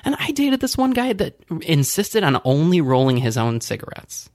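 A man in his early thirties co-hosts a casual podcast, trading quick quips and laughing easily. His voice is mid-pitched and recorded very dry and close. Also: sing-song, no room sound. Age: early 30s. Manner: quippy, laughing, candid storyteller. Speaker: podcast co-host. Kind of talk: casual chat.

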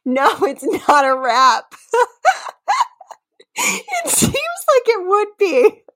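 A woman podcast host with a very lively, swooping voice and an unhurried pace. She slips between mock-dramatic reading and casual banter, and she is prone to giggling. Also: very high voice. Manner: giggly, often breaking into laughter.

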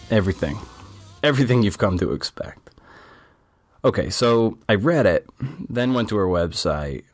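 A man's voice: deep voice, no echo, studio quality. A man as podcast host reading a breezy, chatty show intro with asides to listeners. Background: faint music.

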